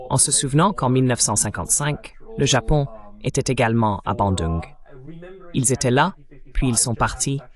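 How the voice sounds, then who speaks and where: A man with a mid-range voice provides voice-over for a political interview. He delivers the translated questions and answers as spoken discussion. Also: mid-range voice. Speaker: French voice-over translating interview host and guest.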